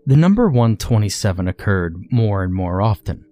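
A man reading a scripted first-person horror story in a measured, dramatic way with deliberate phrasing. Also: on the low side, big pitch swings, mellow, soft background music.

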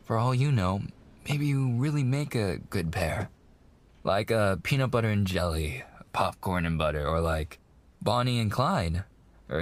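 An actor playing a mafia boss's young son, an eager, sweet fiancé coaxing in slangy gangster talk in an intimate roleplay. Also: male voice, low voice. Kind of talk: boyfriend ASMR roleplay, intimate monologue.

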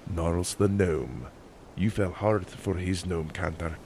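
A man voicing a stern, sardonic older sailor with a deep accented voice and a curt, scolding manner in a fantasy audiobook. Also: slow pace, a little mellow, a little hiss or hum. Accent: deep put-on accent. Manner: curt, chiding, dry.

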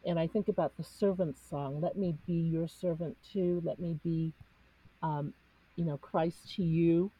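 A woman whose first language is Cantonese, speaking in an earnest, searching way in a reflective faith discussion, pausing often with fillers. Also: fairly low pitch, fairly even intonation, dark tone, breathy edge, no echo, dull audio. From United States. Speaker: discussion panelist.

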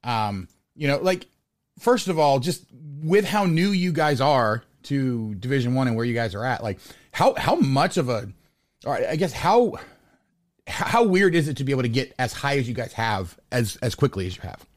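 A man talks casually as a sports podcast host. He rambles, doubling back on words and restarting thoughts. His voice sits at an average pitch in a dry, close sound. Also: very animated, normal speed, no echo.

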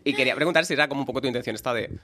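A man's voice: mid-range voice, very fast, sharp tone. A man from hosting a podcast, teasing his guest and laughing often in casual chat. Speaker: podcast host.

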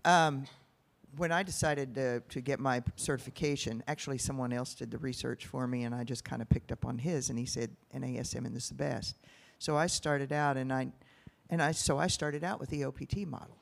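A man in the audience at a live conference event, asking an unscripted question in a halting, roundabout way. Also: mid-range voice, steady tone, gravelly. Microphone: microphone passed to audience.

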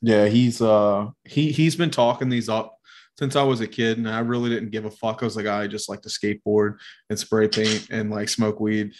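A man with a nasal voice co-hosts a podcast in a loose, rambling way, swearing and laughing as he goes. His voice sits slightly low in pitch. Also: fairly even intonation, moderate pace, darkish tone. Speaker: podcast co-host. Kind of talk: casual podcast chat.

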